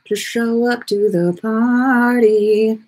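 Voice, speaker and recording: A woman's voice: on the high side, steady tone, slow pace, darkish tone, rough recording. A woman hosting a casual livestream, chatting with viewers in a playful, rambling way as she thinks aloud. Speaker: livestream podcast host. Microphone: webcam livestream setup.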